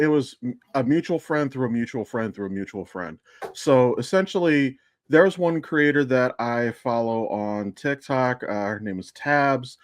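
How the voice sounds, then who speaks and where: A man's voice: mid-range voice, no echo. A man chatting casually as a podcast guest or co-host, telling a story in a rambling, digressive way. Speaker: podcast co-host and amateur voice actor. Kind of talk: casual chat.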